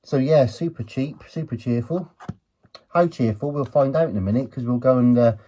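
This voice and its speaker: A man's voice: medium pitch, normal speed, dark tone, dull audio, slight background noise. A British man hosting a hands-on gadget video, chatting casually and thinking aloud as he goes. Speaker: host of a retro electronics teardown video. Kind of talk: casual hands-on commentary while examining a gadget.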